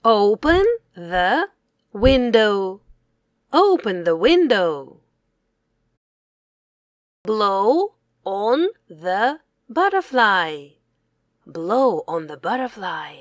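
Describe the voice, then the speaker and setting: A young woman teacher reading aloud a simple language lesson for children, saying words deliberately and separately, with exclaimed prompts. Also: medium pitch, big pitch swings, no room sound, studio quality. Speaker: English teacher.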